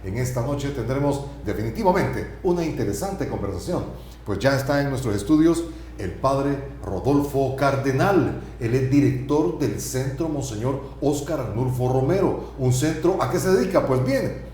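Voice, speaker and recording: A talkative male TV host keeps the conversation moving with tag questions. His voice sits at a middle pitch and rings out in a very echoey studio. A faint hiss runs beneath him. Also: animated, normal speed, mellow. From El Salvador. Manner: chatty, frequent tag questions. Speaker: TV talk show host. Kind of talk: conversational TV interview.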